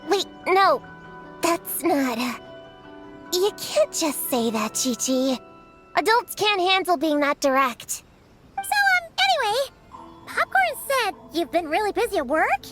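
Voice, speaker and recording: A woman's voice: very high-pitched, big pitch swings, unhurried, exaggerated voice. A woman voicing a small, earnest, precocious child who likes to lecture, in a video game cutscene. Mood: flustered. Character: small, earnest, know-it-all child friend. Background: background music.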